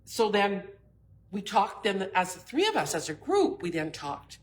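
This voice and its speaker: A middle-aged woman with a big voice, a bra fitter chatting casually and telling lively stories, acting out what her customers say. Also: mid-range voice, unhurried, a little hiss or hum. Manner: lively storyteller, acts out customers' lines. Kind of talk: casual shop talk with stories.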